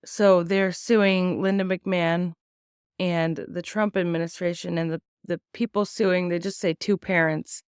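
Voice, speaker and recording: A woman with a fairly low voice talks through the news in a blunt, casual way, swearing often and filling pauses with ums. She sounds sick and worn out, with a slight rasp. The recording is close and dry. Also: steady tone, a little mellow, no echo. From United States. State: sick and tired, a bit raspy. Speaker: podcast host. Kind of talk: casual opinion commentary on news.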